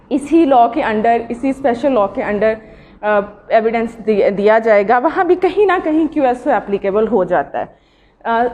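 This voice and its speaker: Female law lecturer, Pakistani accent, high voice, halting delivery with English terms mixed in, dull lo-fi recording. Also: a little mellow. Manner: halting, mixes Urdu and English legal terms. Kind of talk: informal lecture on evidence law. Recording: dull lo-fi audio.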